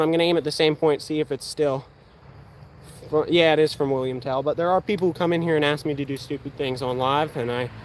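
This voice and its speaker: An eighteen-year-old man with a Pennsylvania accent, chatting casually on a livestream and answering viewer questions while he shoots archery outdoors. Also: a little high. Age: young adult. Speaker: outdoors channel host. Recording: faint outdoor background noise.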